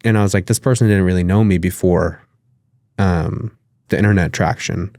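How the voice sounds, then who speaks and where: A man's voice: sits low, steady tone, moderate pace, darkish tone, dry recording, clean studio sound. A man with a nasal voice, talking loosely as a podcast guest, with lots of fillers and casual swearing. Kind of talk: casual interview conversation.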